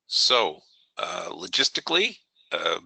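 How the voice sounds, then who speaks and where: A man hosting a software webinar, his voice gravelly and hoarse, with frequent ums and throat clearing. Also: sits low, sing-song, no echo. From New England, United States. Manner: halting, many fillers, clears throat. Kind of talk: online software tutorial. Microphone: online meeting audio.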